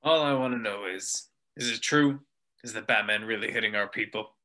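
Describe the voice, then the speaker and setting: A man playing an aging, sardonic, agitated crime boss in a script read of an animated film, speaking in indignant exclamations. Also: lowish voice, moderate pace. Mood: demanding.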